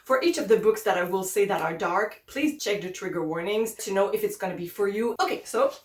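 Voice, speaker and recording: A woman's voice: medium pitch, fairly quick, roomy sound, background noise. A young woman from Quebec with a French-Canadian accent, chatting casually and upbeat about the books she plans to read. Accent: Québécois French. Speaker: book vlogger. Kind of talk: casual chat.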